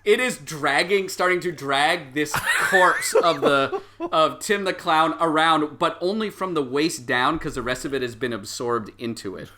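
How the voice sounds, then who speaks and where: A man acting as game master, improvising narration for a tabletop game in a deliberate, explanatory way with pauses. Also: a little high, pushed and bright, a little hiss or hum.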